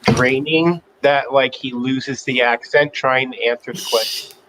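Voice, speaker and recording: A man's voice: mid-range voice, unhurried, background noise. A man chatting casually as a panelist on a livestream. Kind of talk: casual chat.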